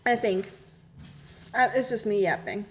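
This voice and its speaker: A woman's voice: mid-range voice, a little slow, a little hiss or hum. A woman from Germany with a German accent, hosting a casual livestream and chatting through viewer comments in a rambling, easygoing way. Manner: rambling, chatty, laughs easily. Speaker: livestream host.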